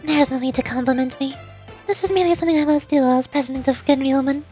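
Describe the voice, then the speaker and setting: A woman acts out a young female student president in a video game story. Her voice is high, with a composed, aloof and calculating air. She speaks formally and with measured authority over faint music. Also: fairly even intonation, mellow, natural voice.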